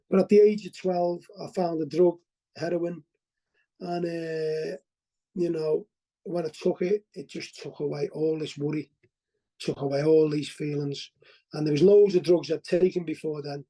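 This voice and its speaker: Very mellow Liverpool man, rambling. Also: fairly high pitch, rough recording. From Liverpool, England. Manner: rambling and candid, constantly asks "you know what I mean?"; restarts and repeats words. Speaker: recovery meeting speaker. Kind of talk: personal testimony at a recovery meeting. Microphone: video call.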